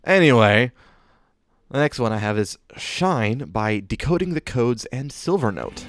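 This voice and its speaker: A man's voice: medium pitch, expressive, measured pace, dry recording, clean studio sound. A man co-hosting a podcast, presenting his picks in easygoing, approving casual chat and often repeating himself. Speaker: podcast co-host presenting fan music.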